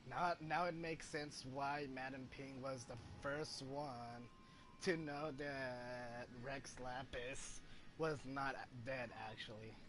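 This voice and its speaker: A man giving casual, halting reaction commentary as he streams a video game, often repeating his words. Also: medium pitch, fairly even intonation, background noise. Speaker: gaming streamer. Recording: faint game music behind.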